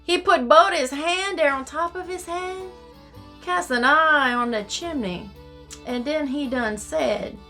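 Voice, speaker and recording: A woman voicing a folksy, excitable Cajun storyteller, reading rhymed storybook verse theatrically in a singsong dialect. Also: sits high, slow, soft background music, pitched above the performer's own voice, full character voice. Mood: animated, amused.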